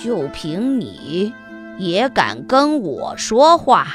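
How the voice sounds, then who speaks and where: Woman putting on a slow, scornful storybook giant. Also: mid-range voice, huge pitch swings, music in the background, heavily acted. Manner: slow, drawn-out, challenging delivery. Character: scornful strong male giant, slow and dim-witted. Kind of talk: children's fairy tale storytelling.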